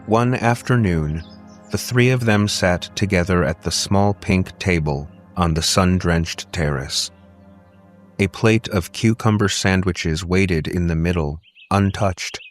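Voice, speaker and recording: A man reading a scripted dramatic story as an audiobook narrator. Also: sits low.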